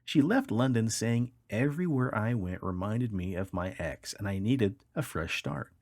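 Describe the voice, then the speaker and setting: A man's voice: lowish voice, expressive, moderate pace, darkish tone, no room sound, studio quality. A man hosting a podcast, reading odd news stories aloud in a wry, tongue-in-cheek way. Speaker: podcast host.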